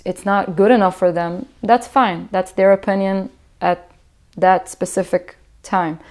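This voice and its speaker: Israeli woman, low flat reflective voice. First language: Hebrew. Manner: reflective, hesitant with many fillers and restarts. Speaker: musician. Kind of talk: interview answers.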